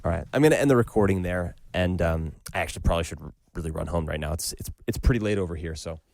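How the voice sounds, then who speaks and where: Gravelly, low-voiced man hosting. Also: quick pace, darkish tone, no room sound. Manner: informal, appreciative, says "man" and "dude" often. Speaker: podcast host. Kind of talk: casual chat and informal host outro.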